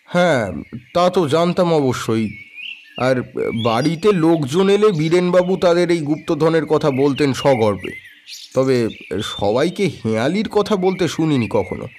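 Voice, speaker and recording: A man's voice: on the high side, expressive, a little slow, darkish tone, slight background noise. A man playing a courteous but guarded tea-estate manager in an audio drama, speaking politely and hesitantly. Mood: explanatory.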